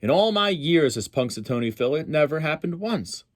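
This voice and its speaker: A grumbling old groundhog uncle, acted out by a man reading a storybook, set in his ways. His dismissive complaints swing widely in pitch, recorded dry. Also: sing-song, moderate pace, dry recording. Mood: stubborn, lecturing.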